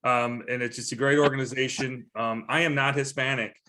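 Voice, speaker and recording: A man's voice: mid-range voice, steady tone, fairly quick, bright, forceful tone. Friendly guy on a video call, mid voice, rambles a bit, jokes about himself. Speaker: staffing firm panelist. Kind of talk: video call self-introduction.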